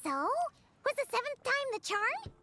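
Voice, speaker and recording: A tiny, childlike fairy companion from a video game. The voice is piercingly high, bright and very animated. It sounds inquisitive and easily puzzled. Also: female voice, moderate pace, full character voice. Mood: curious, puzzled. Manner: childlike, questioning. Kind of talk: scripted video game voice acting. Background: game music.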